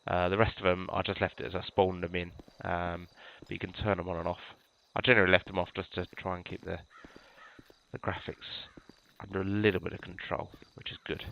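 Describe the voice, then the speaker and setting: A British man with a low, gravelly voice, a little stuffed up from a cold. He chats through a game walkthrough in a relaxed, rambling way, full of ums and restarts, and the recording sounds dull and lo-fi. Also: brisk. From United Kingdom. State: congested from a cold. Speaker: gamer showing police cars. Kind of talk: casual gameplay walkthrough.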